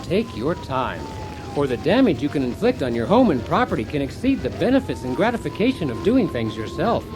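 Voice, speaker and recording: A man's voice: a little high, sing-song, a little fast, music in the background. A man playing a blithely cheerful, accident-prone husband who hosts a do-it-yourself radio comedy, instructive and unruffled by disaster. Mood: earnest, instructive. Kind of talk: parody how-to radio comedy.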